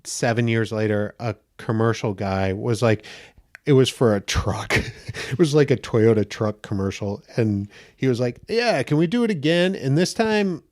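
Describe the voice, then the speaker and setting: Male guest actor, soft higher-pitched voice, lively anecdotes, quick laughs. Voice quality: slightly high, gentle voice. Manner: animated storyteller, laughs often, acts out quotes. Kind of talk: casual podcast chat.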